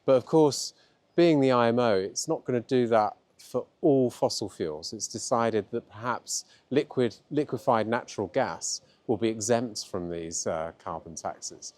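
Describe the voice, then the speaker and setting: A man's voice: mid-range voice, unhurried, a little mellow. A man campaigner explaining things informally in an interview to camera.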